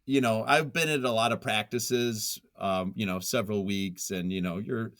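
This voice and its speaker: A man with a fairly low voice talks casually as an interview guest. His manner is goofy and self-deprecating. The sound is dry, with very little room in it. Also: fairly even intonation, normal speed, a bit bright, no room sound. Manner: self-deprecating, goofy, chuckles often while talking. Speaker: interview guest, writer and journalist.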